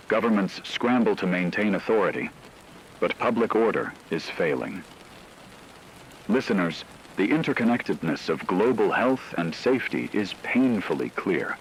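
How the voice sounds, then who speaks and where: A man playing a solemn radio news anchor, reading scripted dramatic war reports in a measured, portentous way. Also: sits low, rough recording. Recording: faint rain and radio noise.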